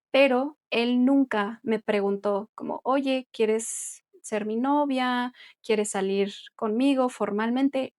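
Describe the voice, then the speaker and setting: A woman's voice: fairly high pitch, a little mellow. A Mexican woman who teaches Spanish, co-hosting a casual podcast and explaining things in a chatty way with light laughs. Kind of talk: casual conversational podcast chat.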